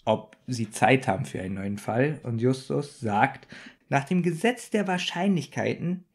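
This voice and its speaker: A man's voice: medium pitch, lively intonation. A man co-hosting a podcast, chatting casually about a radio play, often laughing and stumbling over his words. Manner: giggly, halting with fillers. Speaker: podcast co-host.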